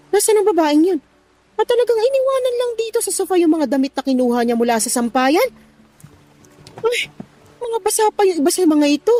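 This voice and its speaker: A woman's voice: high voice, warm tone. A woman playing an exasperated middle-aged Filipino mother in a radio drama, scolding and grumbling about household chores. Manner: scolding, sighing, muttering to herself. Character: exasperated middle-aged mother nagging her son's lazy girlfriend.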